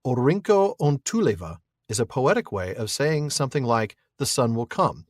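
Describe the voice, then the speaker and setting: A man's voice: mid-range voice, big pitch swings, moderate pace, no room sound, studio quality. A man co-hosting a podcast, reading listener mail and reasoning aloud in an easygoing way, chuckling often. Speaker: podcast co-host.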